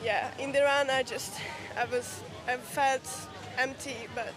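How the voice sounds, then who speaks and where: A young woman, an athlete in a casual post-race interview, speaking haltingly as she searches for words. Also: sits high, fairly even intonation. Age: young adult. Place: outdoors at a race venue. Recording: crowd and music behind.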